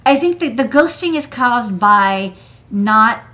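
A woman's voice: a little high, lively intonation, slow, bright, forceful tone. An American woman hosting a technical livestream, chatting informally about electronics with plenty of casual asides. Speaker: host, electronics engineer. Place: indoor desk workspace.

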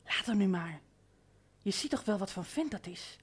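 Housemaid for radio play: cajoling, possessive, familiar, richly varied intonation. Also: female voice, mid-range voice, expressive, normal speed, husky. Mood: coaxing. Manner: cajoling, intimate. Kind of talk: radio drama acting.

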